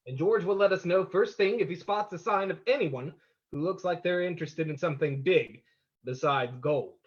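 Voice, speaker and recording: A suave radio-play sleuth acted by a man on a dull, muffled recording. His voice is high for a man and husky, and he is confident and in charge. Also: normal speed. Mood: briefing. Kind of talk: old-time radio drama acting.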